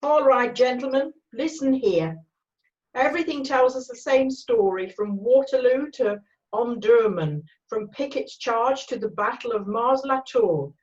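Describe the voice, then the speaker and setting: A confident senior cavalry officer played by a woman, fairly high and lively in pitch, clipped and commanding. The sound is slightly dull and lo-fi, an amateur drama reading. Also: unhurried. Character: confident, brisk upper-class male cavalry major, senior officer. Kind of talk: amateur screenplay read-through.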